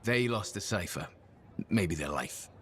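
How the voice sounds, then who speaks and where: A low male voice playing a friendly, humble officer in a video game. His talk is casual and comes in short phrases. There is faint ambience behind it. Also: animated, a little slow, fairly bright tone, slight background noise. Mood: regretful. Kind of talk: video game dialogue acting.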